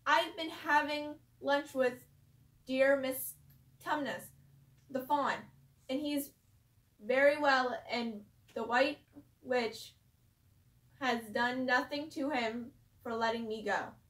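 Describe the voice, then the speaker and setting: A woman reading a children's storybook aloud slowly and carefully, as a teacher at a school. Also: sits high, fairly bright tone. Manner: slow, careful read-aloud. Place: school room. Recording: echoey room, voice far from the mic.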